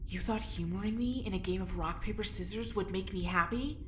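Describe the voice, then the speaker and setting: A woman playing a sardonic, defiant prisoner with dark humor in an audio drama, speaking in a dry way. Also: medium pitch, a little fast, lightly acted. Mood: teasing, sarcastic. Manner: dry, sarcastic. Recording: roomy echo, background noise.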